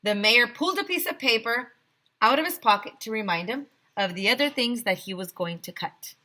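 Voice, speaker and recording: A woman teacher reading a children's storybook aloud with expressive narration. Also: on the high side, normal speed.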